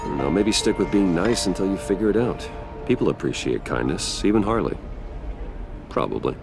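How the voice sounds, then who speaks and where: Guarded, very deep-voiced game hero. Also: male voice, animated, normal speed, creaky. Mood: calm, advising. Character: guarded, composed male billionaire, patient confidant. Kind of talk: understated video game voice acting. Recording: music behind the voice.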